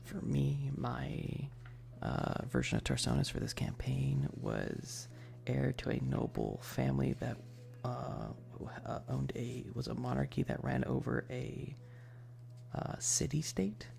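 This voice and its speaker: Gravelly, unhurried man in ASMR chat. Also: medium pitch, animated, a little mellow. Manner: hesitant, rambling, frequent pauses; often drops into breathy, whispery speech. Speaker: ASMR streamer. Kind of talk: soft casual ASMR livestream chat.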